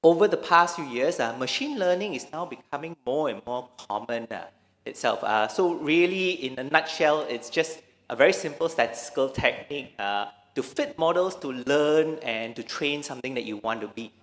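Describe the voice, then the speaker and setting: A man's voice: fairly high pitch, animated, normal speed, a bit bright. Malaysian man lecturing in a big hall. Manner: hesitant, many restarts and fillers. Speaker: conference speaker. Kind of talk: conference talk. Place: large conference hall.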